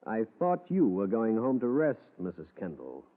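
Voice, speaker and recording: Figure: a wisecracking hardboiled detective. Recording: thin phone-like vintage broadcast. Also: male voice, on the low side, huge pitch swings, normal speed. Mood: dry. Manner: dry, wisecracking. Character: hardboiled male private detective. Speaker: narrator. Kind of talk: 1940s radio acting. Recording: tinny old radio broadcast.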